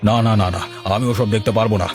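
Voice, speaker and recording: A man's voice: lowish voice, steady tone, a little fast, darkish tone, faint music behind, natural voice. Man playing a stern, scolding village boss. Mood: angry, threatening. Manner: scolding, commanding. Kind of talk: cartoon voice acting.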